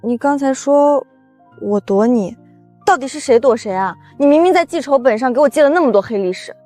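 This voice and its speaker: An actress playing a panicky young office worker in an animated short drama, flustered and melodramatic as she makes excuses. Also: high-pitched, a little fast, faint music behind. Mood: indignant.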